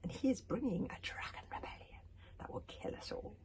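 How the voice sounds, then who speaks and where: A woman's voice: a little fast, darkish tone, natural voice, poor audio. A woman voicing a skeletal, evil old witch who speaks in a dry hissing whisper. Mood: hissing menace. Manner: sinister, gloating. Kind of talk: storybook reading.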